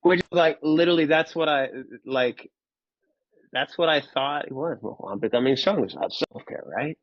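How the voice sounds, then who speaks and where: Halting man, dull close recording. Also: on the high side, no echo. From Finland. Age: 30s. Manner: halting, repeats words and pauses often; candid, casually profane. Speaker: podcast guest. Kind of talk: reflective personal storytelling in an interview.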